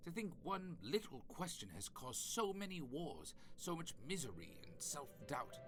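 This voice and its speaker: An actor playing an ancient, haughty afterlife judge in an audio comedy drama, dry, sarcastic and impatient. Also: male voice, mid-range voice. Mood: grand, theatrical.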